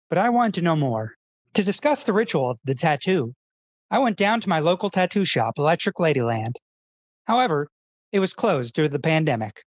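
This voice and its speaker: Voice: mid-range voice, no echo, close to an everyday voice. A performer playing an earnest, self-serious male public radio host who speaks in a pensive, pompous, awkwardly polite way, as in a scripted feature parody.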